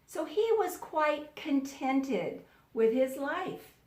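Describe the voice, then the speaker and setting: Lady teacher doing a read aloud for young kids, really slow and instructional, roomy echo on it. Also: high voice, animated, a little mellow. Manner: deliberate, instructional, repeats words for pupils to echo. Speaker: elementary school teacher. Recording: roomy, echoing sound.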